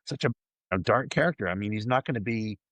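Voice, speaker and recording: Deep-voiced, effusive man hosting a podcast. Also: fast, fairly bright tone, no room sound, dull audio. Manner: effusive, emphatic, repeats words for emphasis. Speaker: podcast host. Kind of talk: casual fan discussion.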